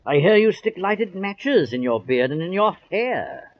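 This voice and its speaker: An actor playing a corrupt, gleefully scheming colonial governor in an old-time radio drama. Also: male voice, sits high, big pitch swings, a little slow. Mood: smug. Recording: muffled vintage radio recording.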